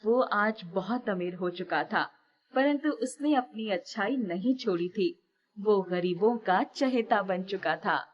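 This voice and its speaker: A woman's voice: mid-range voice, takes it slowly, lo-fi sound. A woman reading scripted moral-story narration as a storyteller.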